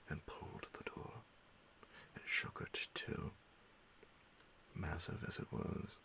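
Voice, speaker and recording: A man reading a horror bedtime story in ASMR style, hushed and half-whispered, breathy and gravelly, with dramatic pauses. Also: very deep voice. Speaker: audiobook narrator.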